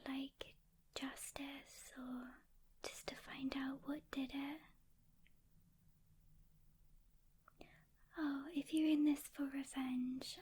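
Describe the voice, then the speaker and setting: A woman playing a calm, weary demon hunter mentoring a newcomer in a gentle, hesitant whisper, as soft-spoken ASMR roleplay. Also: high-pitched. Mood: sympathetic, cautioning.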